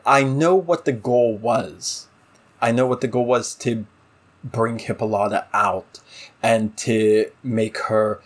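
A man's voice: medium pitch, breathy edge. Opinionated man in casual discussion. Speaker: podcast co-host.

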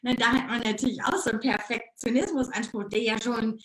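A woman coach from the Ruhr area with a Ruhr German accent, talking in a casual interview, lively and bubbly, with words tumbling out and frequent laughs. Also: on the high side, fairly quick, roomy sound, poor audio.

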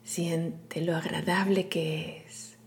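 A woman leading a guided meditation in a soothing, hushed, breathy delivery with long pauses. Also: lowish voice, level tone, a little hiss or hum. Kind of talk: guided meditation, scripted narration.

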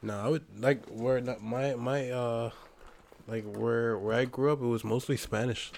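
A man's voice: medium pitch, steady tone, measured pace, gravelly, darkish tone. A man from the Bahamas chatting casually over drinks, hesitant, often repeating words and laughing.

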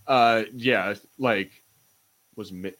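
A man's voice: fairly low pitch, drawn-out words, fairly bright tone. A man co-hosting a podcast in casual pop-culture banter, speaking informally and swearing freely. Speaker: podcast host.

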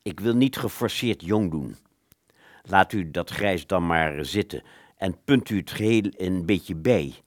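A man playing a polite, old-fashioned gentleman in a radio play, formal and hesitant, with small stammers. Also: sits low, lively intonation, a little slow, no room sound, clean studio sound. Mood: hesitant, fussy.

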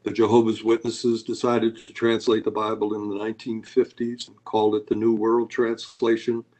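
A man gives long scholarly answers in an interview. He lectures steadily but stops often on uhs and restarts. His voice sits a little low. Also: monotone, moderate pace, darkish tone. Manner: hesitant, many uhs, lecturing. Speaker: Bible scholar guest.

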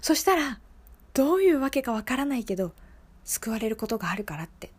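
A woman's voice: on the high side, sing-song, a little fast, slightly rough. A woman playing an earnest young female schoolteacher in an improvised radio monologue, telling her story in a confiding, emphatic way. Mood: reflective, earnest.

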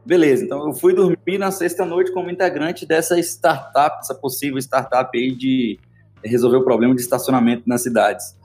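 A man's voice: medium pitch, steady tone, brisk, darkish tone, soft background music. Friendly Bahian man telling a story. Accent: Bahian Brazilian Portuguese. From Salvador, Bahia, Brazil. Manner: friendly, rambling, many fillers. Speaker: podcast guest, entrepreneur. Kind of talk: casual storytelling interview.

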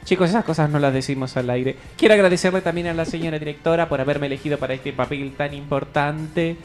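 A man's voice: fairly high pitch, fast, faint music behind, close to an everyday voice. A man playing a stepmother actress in radio comedy, a gushing, theatrical diva with a declamatory manner. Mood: gushing, grand.

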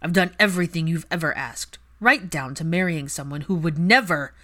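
Female reader as an angry bitter rich son, cold and biting, low-ish pitch, deliberate, story narration. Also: a bit bright.

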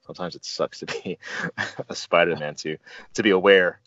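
Low-voiced man reviewing a film, dull audio. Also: fairly bright tone, no echo. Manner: halting, earnest fan talk with colloquial asides. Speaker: movie reviewer and show host. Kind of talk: casual film discussion, retelling scenes. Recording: dull lo-fi audio with faint music. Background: faint music.

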